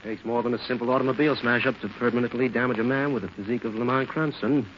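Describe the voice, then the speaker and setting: An actor playing a brisk, assured doctor in an old radio drama. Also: male voice, medium pitch, fairly even intonation, natural voice. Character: brisk, confident reassuring doctor. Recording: hissy, muffled old radio recording.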